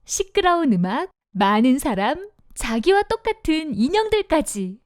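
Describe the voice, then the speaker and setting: Brief: woman reading a kids' fairy tale, high and lively, dry close mic. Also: measured pace, dry recording. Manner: sing-song, expressive. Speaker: narrator. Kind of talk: children's storybook narration.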